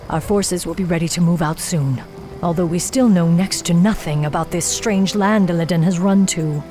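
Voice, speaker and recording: A woman as a low-voiced, severe elven warden. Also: moderate pace, warm tone, faint music behind, close to an everyday voice. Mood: martial. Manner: formal, severe, martial. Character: proud, bitter night elf warden, hard female warrior. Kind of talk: video game cutscene acting.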